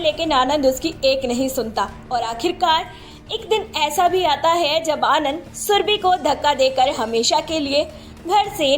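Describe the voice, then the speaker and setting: High-pitched woman narrating a story.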